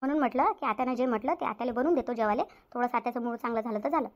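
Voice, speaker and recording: A woman's voice: on the high side, very fast, lo-fi sound, natural voice. A woman voicing a harried, dutiful elder sister-in-law in a Marathi cartoon, resigned and chatty, with a rural Varhadi accent. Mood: dutiful, accommodating. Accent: rural Maharashtra, Varhadi Marathi. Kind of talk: domestic cartoon story dialogue.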